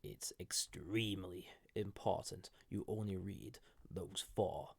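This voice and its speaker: A man voicing an ancient banished villain entity in an intimate audio roleplay, soft-spoken and formal, with a gravelly, husky voice that often drops to a whisper. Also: low-pitched, no echo, full character voice. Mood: cautious, instructing. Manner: slow, halting, gently condescending, formal. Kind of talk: intimate scripted audio roleplay addressed to listener.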